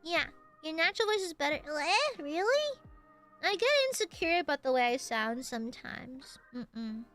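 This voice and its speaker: High, lively, nasal young woman streamer. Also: moderate pace, soft background music. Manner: rambling, giggly, swears casually. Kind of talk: casual stream chat with viewers.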